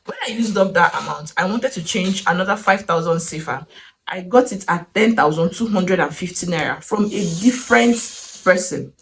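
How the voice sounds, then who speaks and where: Chatty Nigerian woman vlogging, low-pitched. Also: normal speed, slight background noise. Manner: chatty, wondering aloud, with short laughs. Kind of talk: casual travel vlog.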